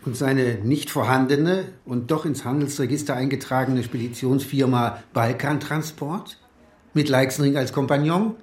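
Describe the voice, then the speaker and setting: A man's voice: mid-range voice, normal speed, darkish tone, echoey room, close to an everyday voice. A man playing a sceptical, commanding police chief in a crime radio play. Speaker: actor in a crime radio drama. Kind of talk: German radio play dialogue.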